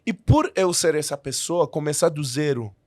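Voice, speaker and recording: A man's voice: a little high, expressive, moderate pace, a little mellow, dry recording. A man who learned the language as an adult, a podcast guest telling his story in a casual way with many restarts and fillers.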